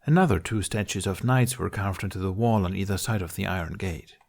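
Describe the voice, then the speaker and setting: Low-voiced man narrating a story. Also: brisk, vocal fry. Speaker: podfic narrator reading fan fiction. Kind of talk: scripted audiobook-style fantasy narration.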